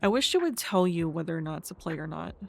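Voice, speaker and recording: A woman commentating casually as she plays a video game, hoarse and losing her voice, talking quietly to herself. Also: fairly low pitch, animated, fast talker, faint music behind. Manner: quiet, subdued, talking to herself while playing. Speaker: gaming video host. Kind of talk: casual let's-play commentary.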